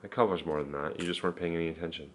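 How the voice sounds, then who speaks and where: A man's voice: low voice, very fast. A man in his thirties vlogging about his family day in a casual, upbeat, chatty way, filming himself at arm's length in a van and at home. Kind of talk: casual vlog chat. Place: inside a family van, then a living room. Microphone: camera held at arm's length.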